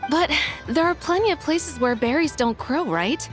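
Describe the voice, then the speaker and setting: A polite, measured guide. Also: female voice, high voice, lively intonation, soft background music. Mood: calm. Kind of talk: video game cutscene dialogue.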